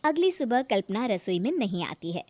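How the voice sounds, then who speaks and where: A woman tells a scripted moral story as its narrator. Her voice is high and moves up and down in a lively way. The recording is dry, with no room around it. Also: moderate pace, no echo.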